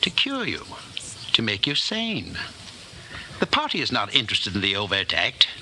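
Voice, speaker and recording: A man playing a calm, menacing Party interrogator in 1940s radio drama style, measured, formal and condescending. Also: on the low side, heavily acted. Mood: condescending, patient. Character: calm, menacing male Party interrogator, patient and coldly superior. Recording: noisy vintage 1940s radio broadcast.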